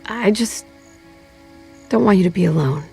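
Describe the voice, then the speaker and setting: A woman playing a loving, firm and worried mother in an animated game, speaking gently but firmly. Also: medium pitch, moderate pace, creaky, faint music behind, close to an everyday voice. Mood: tender, worried. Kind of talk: animated game drama acting.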